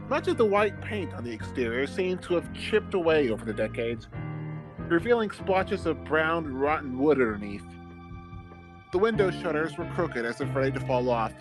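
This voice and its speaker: Theatrical male narrator with lively, swooping pitch. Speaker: narrator reading fan fiction aloud with friends. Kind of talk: dramatic mock-serious story narration. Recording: music behind, deliberately old-fashioned microphone sound.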